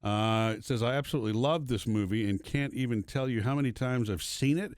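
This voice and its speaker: An easygoing man co-hosting a podcast, reading listener messages aloud and thinking aloud between lines. His pitch is ordinary for a man. The recording is close and dry. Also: fairly quick, dry recording. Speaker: podcast co-host.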